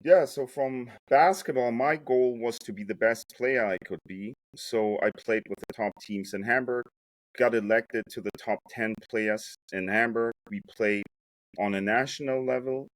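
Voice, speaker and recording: A man's voice: medium pitch, normal speed, dry recording, poor audio. A man in his forties from Germany, telling his life story with jokes and loose American slang as a podcast guest. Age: 40s. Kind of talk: casual storytelling.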